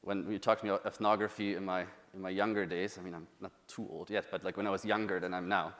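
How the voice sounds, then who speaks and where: A man in his thirties with a German accent speaks to a conference crowd. His voice sits fairly low, and he talks loosely, with fillers and restarts. A long reverb rings around him in a big hall. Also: flat delivery, fast, fairly bright tone. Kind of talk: conference talk.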